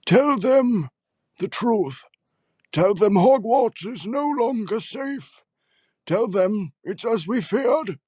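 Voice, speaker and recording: A man as a slow, high-pitched grave old wizard. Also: animated, mellow, husky, no room sound, studio quality, heavily acted. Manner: slow, solemn, measured. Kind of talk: audio drama acting.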